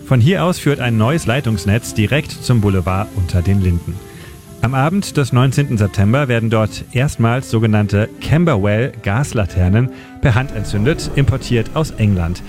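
A male radio editor reading a scripted history segment in a measured storytelling way. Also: medium pitch, animated, darkish tone. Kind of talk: scripted narration. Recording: narration over clear music. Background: music bed.

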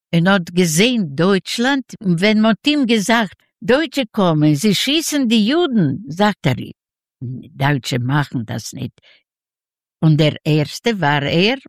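A woman in her nineties from Ukraine with a Yiddish-Ukrainian accent, telling her story in an interview in halting, simple broken sentences and acting out quoted dialogue. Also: on the low side, big pitch swings, no echo, studio quality. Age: 90s. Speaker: interview guest, Holocaust survivor giving testimony.

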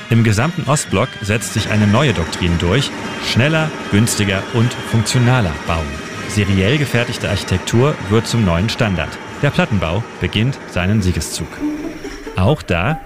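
Guy narrating radio doc, low-ish voice, measured punchy phrases, music behind. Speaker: radio editor and city guide. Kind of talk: scripted documentary narration. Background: music under the voice.

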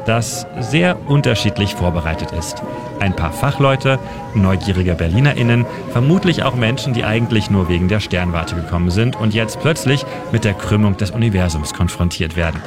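Low-voiced male storyteller over clear music. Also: expressive, moderate pace. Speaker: history segment presenter. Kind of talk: scripted storytelling narration. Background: music bed.

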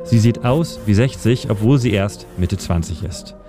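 Deep-voiced man narrating over music. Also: normal speed. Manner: dry, wry punchlines. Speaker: radio editor and segment narrator. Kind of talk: scripted storytelling narration. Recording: music bed behind voice.

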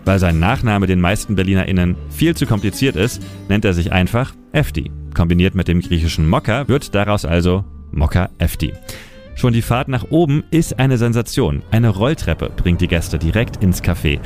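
A man's voice: sits low, animated, moderate pace, darkish tone, faint music behind. A man presenting as a city guide, narrating a scripted historical story for radio.

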